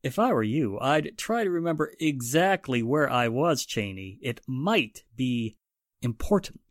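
Man acting probing police inspector, animated delivery. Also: medium pitch, dry recording, clean studio sound. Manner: pointed, deliberate interrogation. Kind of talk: 1940s-style radio drama acting.

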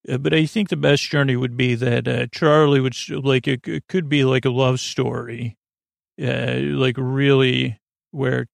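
A man hosting a bedtime podcast in a meandering, hesitant monologue, often interrupting himself, with a gravelly, creaky voice. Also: mid-range voice. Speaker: podcast host.

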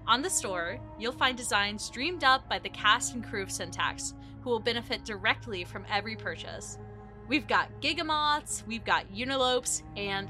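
A woman's voice: on the high side, sharp tone. A woman reading scripted promotional announcements as a podcast producer.

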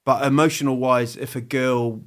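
A man's voice: medium pitch, fairly even intonation. Self-mocking English comedian in his fifties. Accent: English with a bit of New Zealand. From England. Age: 50s. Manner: rambling, halting restarts, jokey asides with put-on voices. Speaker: comedian, interview guest. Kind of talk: candid, self-mocking interview chat.

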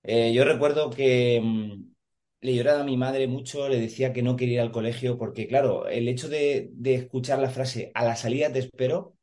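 A man's voice: medium pitch, steady tone, normal speed, darkish tone. A man in his forties from Madrid, a podcast guest speaking earnestly over a video call, hesitating often with fillers and repeated words. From Madrid, Spain. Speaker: podcast guest, police officer and emotional coach. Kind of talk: casual conversational interview.

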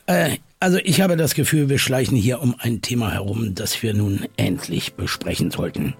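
An inquisitive radio journalist in an audio drama. His voice is rough and creaky, recorded dry and close. He probes and interjects with short hums. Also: mid-range voice, moderate pace, no room sound. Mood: pressing. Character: probing male radio journalist interviewing a police officer.

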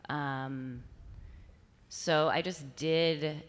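A woman from New York speaking as a guest at a live panel. Her voice is quite low for a woman, and she keeps a dry, self-deprecating, matter-of-fact tone. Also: fairly even intonation, extremely slow. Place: stage before a live audience.

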